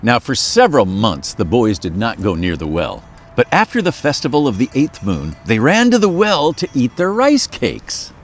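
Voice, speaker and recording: A man reading a children's folktale aloud in a very expressive, playful storyteller manner. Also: mid-range voice, normal speed, few pauses, faint music behind.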